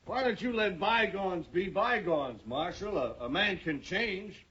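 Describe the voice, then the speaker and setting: An actor playing a sly, sullen gunslinger in a 1950s radio western, stammering with false friendliness. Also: male voice, sits high, expressive, unhurried, pushed and bright, slight background noise, heavily acted. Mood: wheedling. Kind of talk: 1950s radio drama acting. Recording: muffled old radio broadcast.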